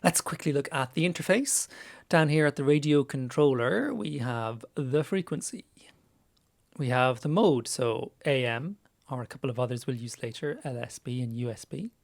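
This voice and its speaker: A man's voice: medium pitch, moderate pace. A man presenting a casual, conversational screen-share tutorial in an informal way, with small hesitant asides. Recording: clear background noise.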